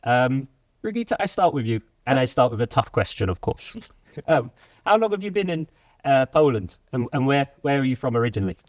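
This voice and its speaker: A man's voice: mid-range voice, big pitch swings, a little fast, dry recording. A man hosting a casual workplace talk show, asking loose questions with restarts and fillers. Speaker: host. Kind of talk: casual interview.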